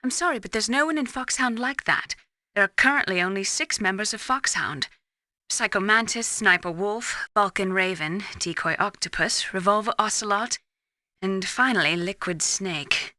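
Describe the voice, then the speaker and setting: Woman playing a bright, clinical female scientist. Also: medium pitch, no room sound, close to an everyday voice. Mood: matter-of-fact. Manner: precise, measured, explanatory. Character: cool, clinical female scientist, composed and secretive. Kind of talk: video game voice acting.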